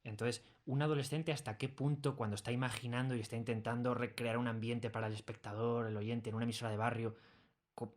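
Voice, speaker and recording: Male guest for a studio interview, mid-pitched, Castilian Spanish, conversational delivery. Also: little pitch movement, fast talker. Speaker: audio drama creator being interviewed. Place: recording studio in Madrid.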